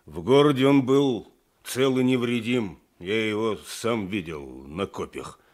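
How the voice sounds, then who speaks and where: An actor playing a stern, defensive village elder in a radio play, speaking firmly. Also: male voice, fairly low pitch, lively intonation, a little slow, darkish tone. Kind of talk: Soviet radio play acting. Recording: 1980s radio drama recording.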